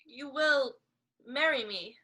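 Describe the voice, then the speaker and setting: A woman's voice: slow, pushed and bright, slight background noise, exaggerated voice. A woman voicing a desperate, jilted young maid with a tremulous, pleading manner, in 1930s-style radio drama acting. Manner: tremulous pleading, then shrill outbursts.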